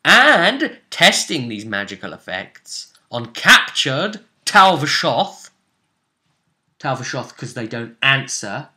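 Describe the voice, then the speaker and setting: A man with a bright, forward voice acts as game master at a tabletop session. He narrates the story casually, pausing to find words and repeating phrases for emphasis. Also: mid-range voice, sing-song.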